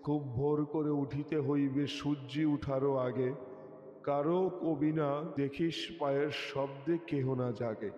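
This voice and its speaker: Man reciting poetry in long reverb. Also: medium pitch. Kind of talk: expressive Bengali poem recitation. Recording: dull, echoey with long reverb. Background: music.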